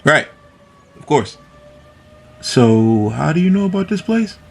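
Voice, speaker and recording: Radio-play rookie cop. Young, creaky, formal, eager to please his superior, a little nervous. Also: a little high, big pitch swings, slow pace, heavily acted. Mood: polite, agreeable. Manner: polite, deferential, nervously formal. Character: eager, awkward rookie police officer, deferential young man. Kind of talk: radio drama acting.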